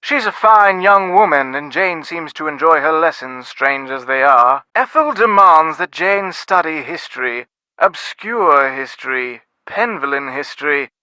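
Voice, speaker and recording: Game role: snobbish British upper-class dad, middle-aged, sardonic, highish sharp voice, very close dry sound. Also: male voice, animated, unhurried, clean tone, dry recording, full character voice, poor audio. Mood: dry disdain. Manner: pompous, wordy, dryly sardonic. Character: snobbish, dismissive middle-aged English father of aristocratic family. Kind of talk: scripted video game dialogue.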